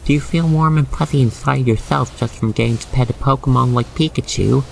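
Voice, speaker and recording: A man guiding the listener through an ASMR roleplay in expressive, theatrical, wordy storytelling narration. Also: medium pitch, brisk, vocal fry, slight background noise, rough recording.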